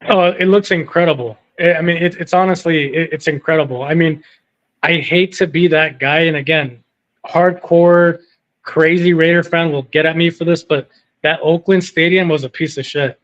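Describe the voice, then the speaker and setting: A man's voice: fairly high pitch, fairly even intonation, normal speed, a bit bright. A man from California calling in to a sports talk show, rambling casually, with lots of restarts. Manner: rambling, lots of fillers and restarts, says dude. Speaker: caller. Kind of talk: casual chat on a call-in show. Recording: muffled phone-in audio.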